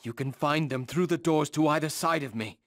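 An actor playing a proud, noble Norse warrior god in a video game, speaking formally and solemnly in archaic phrasing. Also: male voice, on the high side, a little fast, dry recording, studio quality, natural voice. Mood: urgent, grave. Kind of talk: scripted fantasy game dialogue.

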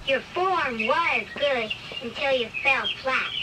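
A woman's voice: high-pitched, takes it slowly, heavy background noise. A woman voicing a cartoon schoolchild on a record played back from a distance. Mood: matter-of-fact. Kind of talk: cartoon read-along acting. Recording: distant record playback under very loud music. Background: music.

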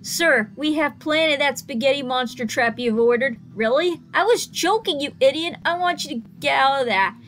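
A woman's voice: high-pitched, moderate pace, few pauses, fairly bright tone. A young adult woman reacting to a video, reading out its captions and commenting, acting out the dialogue with exaggeration. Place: small indoor room. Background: music from the video being watched.

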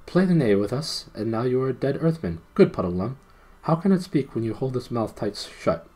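A man's voice: medium pitch, big pitch swings, fairly quick, a little mellow, husky, pitched above the performer's own voice. A man voicing a gallant, stern prince in a storybook, with formal, archaic, commanding speech. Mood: threatening. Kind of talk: character voice in storybook reading.

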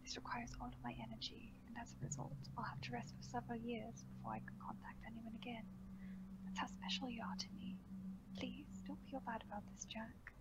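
A woman voicing a calm, manipulative female seer in a horror story reading, in a whispery, soothing, slow hushed voice. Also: mid-range voice, vocal fry, heavy background noise, natural voice.

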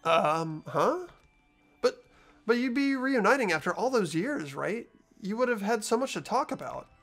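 An earnest, unsure schoolboy, played by a man, speaks in a fairly high voice with very animated pitch. He is a sympathetic, hesitant teenager from a video game, with music playing underneath. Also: measured pace, heavily acted. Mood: startled, pleading. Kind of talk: amateur video game voice acting. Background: background music.

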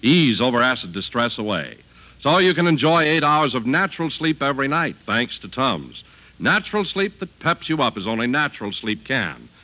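Bright, forceful male radio announcer. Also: big pitch swings, normal speed, rough recording. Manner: polished sales pitch delivery. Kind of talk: 1950s radio ad and scripted narration.

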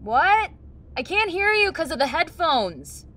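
An actress playing a teasing teenage high school girl in an audio drama. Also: sing-song, measured pace, bright, forceful tone, heavily acted. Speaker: student.

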